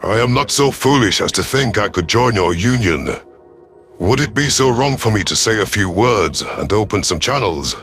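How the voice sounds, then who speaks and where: A man's voice: lowish voice, expressive, moderate pace, a bit bright, faint music behind. A man voicing a grey alien warlord who speaks stiffly and formally, without contractions. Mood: earnest.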